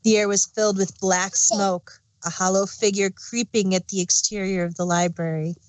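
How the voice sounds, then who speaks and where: A woman's voice: medium pitch, fairly even intonation, moderate pace, no echo, low-quality recording. A woman reading fan fiction aloud as an amateur storyteller and narrator.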